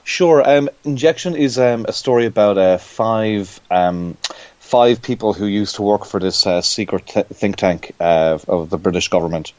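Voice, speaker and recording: A man's voice: fairly low pitch, normal speed, a little mellow, no echo, muffled recording. A man with an Irish accent, a comic book creator chatting as a podcast guest and giving rambling explanations. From Ireland. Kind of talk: casual podcast interview.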